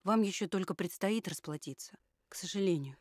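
A woman's voice: medium pitch, a little fast, a little mellow, no echo, studio quality, natural voice. A woman voicing a female puppet survivor who solemnly warns people about the future. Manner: solemn, admonishing. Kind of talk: puppet theatre performance.

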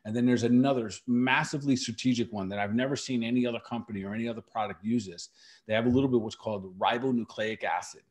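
A man's voice: mid-range voice, fairly even intonation, quick pace. A middle-aged man hosting a podcast, explaining herbal remedies in a casual, emphatic way and repeating key words for stress. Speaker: natural medicine practitioner and podcast host.

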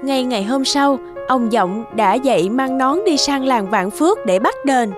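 A woman narrating an animated folk tale in a scripted storybook style. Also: sits high, a little slow. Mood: storytelling. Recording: background music under the voice.

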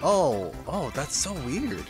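A man's voice: a little high, big pitch swings, takes it slowly, poor audio. A young man streaming a video game, reacting casually and rambling in loose asides. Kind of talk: casual live gameplay reaction. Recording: light game music behind. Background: video game music.

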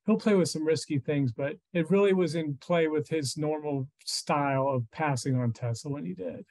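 A man's voice: on the high side, normal speed, darkish tone. An American man in his fifties giving informal, explanatory commentary as a financial expert on a video call from home. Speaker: financial journalist interviewed as expert. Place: small furnished room at home.

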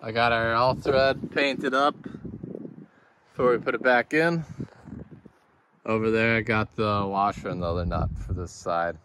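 A man, a chainsaw carver, casually talking through his work in a relaxed, matter-of-fact way outdoors by his workshop. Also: lowish voice, unhurried, pauses often, noisy background. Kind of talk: casual workshop vlog narration.

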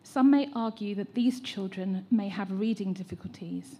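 An educator with an English accent speaks at a public meeting. She delivers a measured, prepared statement in a mellow, slightly husky voice. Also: medium pitch. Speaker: educator and teacher trainer. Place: large hall at a public meeting.

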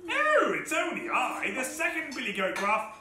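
A woman's voice: high voice, huge pitch swings, moderate pace. A woman voicing a polite, pleading middle-sized billy goat in a storybook. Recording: roomy echo, distant mic, faint noise.

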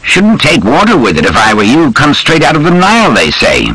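A man doing a brash, clipped army major, higher-pitched. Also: wildly swooping pitch, a little fast, pushed and bright, husky, dry recording, full character voice, low-quality recording. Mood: bluff, jovial. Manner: clipped, abbreviated speech, bluff and slangy. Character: brash, grumbling, hard-drinking British army major, small and thin. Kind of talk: audiobook character dialogue.

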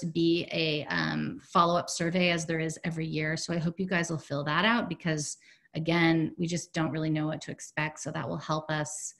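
Chatty woman on a video call. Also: low voice. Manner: chatty, filler-heavy, upbeat. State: hoarse, losing her voice. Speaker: program director presenting a webinar. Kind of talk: casual webinar presentation. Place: home room, indoors. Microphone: video call, laptop mic.

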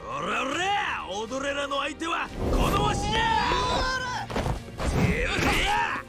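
An actor playing a brash male brawler who shouts in rough dialect, ready for a fight. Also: very animated, slow, over loud music, full character voice. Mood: gleeful, battle-ready. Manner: rough dialect shouting, high strained yell. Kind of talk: video game cutscene acting.

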